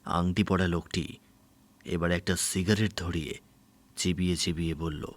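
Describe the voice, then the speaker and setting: A man's voice: deep voice, steady tone, moderate pace, slight background noise. A man narrating a detective audio story in a dramatic, scripted storytelling style. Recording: music behind the voice.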